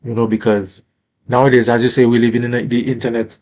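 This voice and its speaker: A man's voice: medium pitch, flat delivery, fast, a little mellow. A man with a Saint Lucian accent, a musician talking casually in an interview in Caribbean creole-flavoured English, often tagging phrases with "you know".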